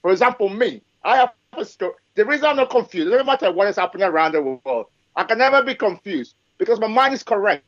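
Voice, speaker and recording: A man's voice: high-pitched, lively intonation, fast talker, few pauses, fairly bright tone, no echo, highs cut off. A man in his forties from Africa, speaking in a live discussion with emphasis and frequent checks of "okay" and "yes". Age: 40s. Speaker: guest speaker.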